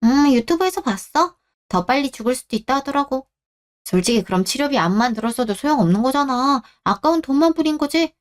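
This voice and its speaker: A woman's voice: high-pitched, lively intonation, a little fast, pitched above the performer's own voice. A woman voicing a selfish young bride-to-be in a radio drama, petulant and entitled, with a whining, pleading manner. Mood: callous. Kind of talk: Korean radio-drama story acting.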